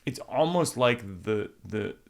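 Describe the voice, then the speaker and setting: A middle-aged man hosting a podcast conversation, talking in halting bursts with lots of restarts and animated asides. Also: mid-range voice, creaky. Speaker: podcast host. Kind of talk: casual conversation.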